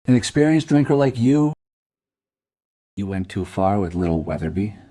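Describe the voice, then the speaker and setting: An actor playing a stern, probing police detective in a crime radio play, questioning in a measured, insistent way. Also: male voice, fairly low pitch, very animated, warm tone. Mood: accusing, pressing.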